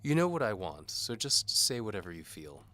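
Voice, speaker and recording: A man's voice: lowish voice, very animated, moderate pace, sharp tone, voice pushed up. A man voicing a pompous, menacing bishop in an audio drama, speaking formally and with condescension. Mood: stern. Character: pompous, menacing bishop interrogating a prisoner, patronizing. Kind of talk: audio drama character acting.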